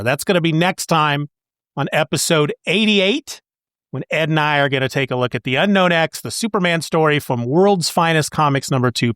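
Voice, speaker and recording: A man's voice: on the high side, fairly bright tone, dry recording, clean studio sound. A man hosting a podcast, moving between casual chat and scripted show announcements. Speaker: podcast host.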